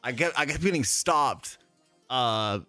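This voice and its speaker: A man chatting in an interview, telling stories with bursts of laughter and quick voices for the people he describes. His voice sits in a middle register. The sound is close and dry, with faint music underneath. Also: mid-range voice, no echo. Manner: animated storyteller, laughs often, acts out people he quotes. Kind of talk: casual interview chat.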